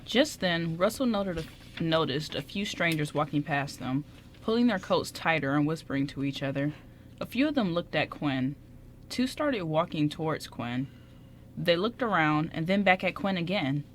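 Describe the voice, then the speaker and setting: A woman's voice: low-pitched, animated, normal speed. A woman reading scripted story narration for a radio play, occasionally stumbling, on a noisy amateur recording.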